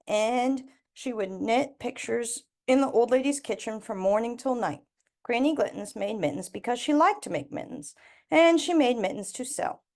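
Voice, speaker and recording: A woman with a fairly high voice reads a children's story aloud. Her delivery is expressive, and she leans deliberately on repeated words and lists. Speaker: storybook reader.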